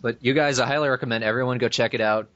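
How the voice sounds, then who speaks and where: A man's voice: medium pitch, steady tone, rapid-fire, a bit bright. A man co-hosting a fan podcast in casual chat over a voice call. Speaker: podcast co-host. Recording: dull lo-fi internet call.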